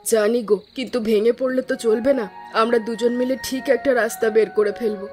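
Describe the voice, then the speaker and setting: An actress playing a hardworking young wife who runs a small eatery in an animated cartoon story, earnest and steady. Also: female voice, a little high, faint music behind, lightly acted. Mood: consoling. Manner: earnest, quick-speaking. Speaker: cook, hotel owner.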